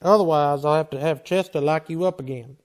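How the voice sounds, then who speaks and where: A steady Old West marshal played by a man in a western radio play, with a high voice for a man. He is blunt, commanding and folksy, with a dry wit under the sternness. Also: fairly quick, lightly acted. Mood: wry, gentle. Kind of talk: old-time western radio drama acting.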